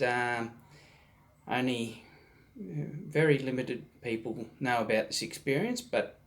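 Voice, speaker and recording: A man, hosting his own channel and telling a personal story in a halting way, his voice slightly hoarse from a recent virus. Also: mid-range voice. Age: 40s. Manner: halting, many ums and restarts, soft-spoken. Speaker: vlog host.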